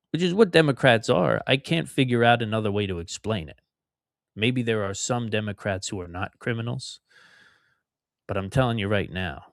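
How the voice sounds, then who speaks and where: A man's voice: lowish voice, gravelly, no room sound, clean studio sound. A middle-aged man from outside Philadelphia hosting a solo podcast, talking in a rambling, conversational way with emphatic repetitions. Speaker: podcast host.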